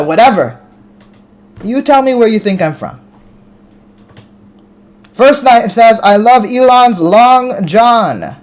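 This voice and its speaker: An American man hosting a live stream, reading viewer comments aloud and talking back to them casually, often restarting his words. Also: sits high. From United States. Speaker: livestream host reading chat.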